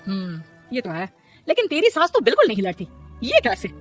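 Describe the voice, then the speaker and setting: A woman's voice: on the high side, huge pitch swings, fairly quick, soft background music. A woman voicing a gossipy, meddling neighbour in a story, prodding and insinuating.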